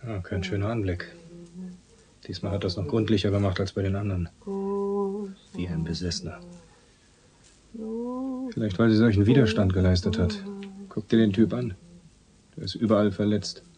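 An actor playing a hardboiled police officer in a radio crime drama, dry and matter-of-fact. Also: male voice, lowish voice, steady tone, normal speed, long pauses, crowd noise, natural voice. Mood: grim.

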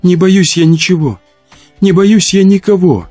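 Man voicing a high-pitched, nervous ghostly woman. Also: sing-song, moderate pace, darkish tone, soft background music. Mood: eerie, nervous. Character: murdered woman's ghostly avenging voice, nervous and bitter.

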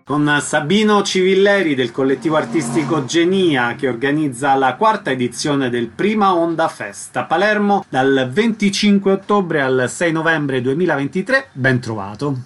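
A man's voice: on the high side, lively intonation, normal speed, hardly any pauses, a bit bright, faint music behind. A man hosting a radio programme, presenting guests and putting questions to them. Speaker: radio host.

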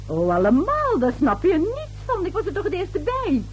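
A woman's voice: very high voice, huge pitch swings, moderate pace, a little hiss or hum, full character voice. An actress playing a tipsy, gossipy neighbour lady in a radio play, chatty, coy and giggly. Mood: gossipy, knowing. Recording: old radio drama recording.

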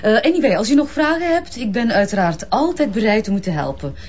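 A Flemish actress playing a smooth, evasive, self-assured businesswoman in a crime drama, with a polished and hurried manner. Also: fairly high pitch, big pitch swings, a little mellow. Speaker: actor. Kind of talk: naturalistic crime drama acting. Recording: slightly muffled sound.

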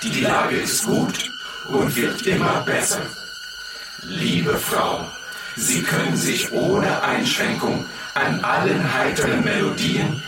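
A man performing a declamatory announcer in a radio play, speaking slowly and emphatically. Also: fairly high pitch. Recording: very echoey, distant, loud music behind.

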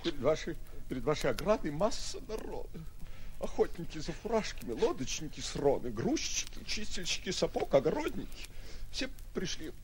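Bustling, high-voiced townsman. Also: very animated, normal speed, music in the background. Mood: urgent. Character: urgent, bustling townsman announcing the send-off. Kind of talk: 1940s Soviet radio play acting. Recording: vintage 1940s radio recording.

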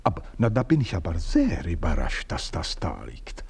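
Cunning servant, radio drama; husky, very lively pitch. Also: male voice, normal speed, heavily acted. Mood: insinuating. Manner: sly, insinuating, fawning. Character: scheming, cunning male manservant, middle-aged. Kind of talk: radio play acting.

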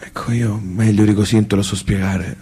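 An actor playing a flustered, plain-spoken Tuscan man in a tragicomic stage piece, halting and repeating himself. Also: fairly low pitch, steady tone, warm tone, breathy edge. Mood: rambling. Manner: halting, repetitive, blurting.

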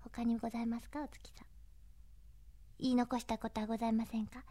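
A woman's voice: a little high, flat delivery, fast talker, mellow, no room sound. A woman hosting a chatty interview, speaking politely with lots of reactive interjections. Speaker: radio show host interviewing a guest. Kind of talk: chatty interview for an anime fan CD.

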